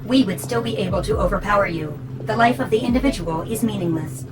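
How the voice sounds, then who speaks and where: A woman's voice: mid-range voice, fast, natural voice, rough recording. A woman playing a polite, coldly logical servant robot in an audio drama, speaking formally and precisely. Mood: politely menacing. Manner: formal, precise, matter-of-fact. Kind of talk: scripted audio drama acting. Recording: music behind the voice.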